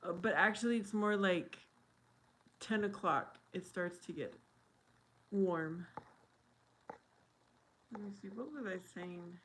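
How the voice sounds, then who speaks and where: A woman's voice: medium pitch, takes it slowly, pauses often, a bit bright, a little hiss or hum. A woman live streaming and chatting casually with viewers, answering them by name and laughing often. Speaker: live streamer reading chat.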